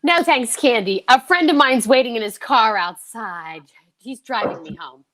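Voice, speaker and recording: A woman's voice: fairly high pitch, animated, moderate pace, a bit bright. A woman playing a polite, grateful, easily flustered lady friend in an old-time radio show, gracious and slightly fluttery.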